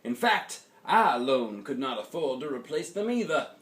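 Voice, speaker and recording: A man voicing an earnest small-town pastor giving an oratorical, measured speech in a storybook. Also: medium pitch, big pitch swings, fairly bright tone, reverberant. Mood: earnest appeal.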